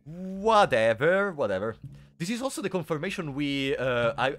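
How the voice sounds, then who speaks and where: A young man with a sore throat, chatting playfully with his live chat while streaming a game. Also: medium pitch. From Italy. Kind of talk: casual live-stream commentary.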